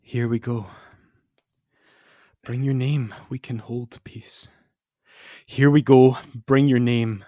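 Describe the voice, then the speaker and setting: A theatrical, solemn poet acted out by a man recites rock lyrics as grand verse. The middle-pitched male voice moves between hushed and loud delivery in a dry recording. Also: fairly even intonation, takes it slowly, pauses often, no room sound, natural voice. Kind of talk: mock dramatic poetry reading.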